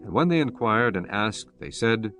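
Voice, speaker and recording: A man narrating dramatized scripture as an audiobook, in a solemn, measured storytelling manner. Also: on the low side, expressive, a bit bright, faint music behind.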